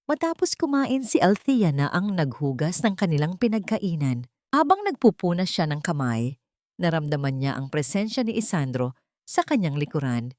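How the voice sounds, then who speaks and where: A woman narrates a dramatic love story in a low-pitched voice. The recording is dry and close, with scripted, storyteller delivery throughout. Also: very animated, normal speed, a little mellow, no echo.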